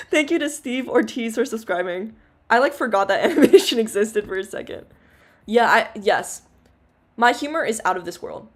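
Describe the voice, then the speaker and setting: A young woman streaming a casual Q&A as a VTuber, rambling with lots of fillers and giggles, her voice low-ish and loud. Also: lively intonation, normal speed. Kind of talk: casual Q&A livestream chat.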